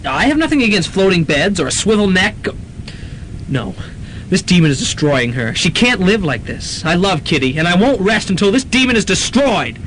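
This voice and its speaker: A man's voice: high-pitched, big pitch swings, normal speed, background noise. A young man playing a devoted young hero in a college radio drama, earnest and pleading. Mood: resolute. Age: young adult.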